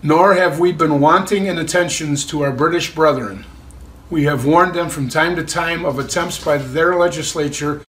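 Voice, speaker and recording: A man's voice: on the high side, fairly bright tone, low-quality recording. A man reading a historical document aloud in a formal style. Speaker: volunteer reader. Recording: clear background noise.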